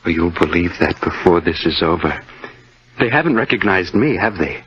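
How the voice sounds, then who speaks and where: A deep-voiced, deranged killer in a 1950s radio thriller. He is touchy and boastful, brooding and halting as he threatens. The vintage recording sounds dull. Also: expressive, slight background noise. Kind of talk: 1950s radio suspense acting.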